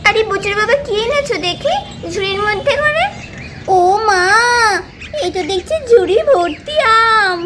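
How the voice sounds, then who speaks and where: A woman voicing a pregnant young village wife in a doll puppet cartoon, fond and gently chiding toward her husband. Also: very high voice, measured pace. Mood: delighted. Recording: steady background noise.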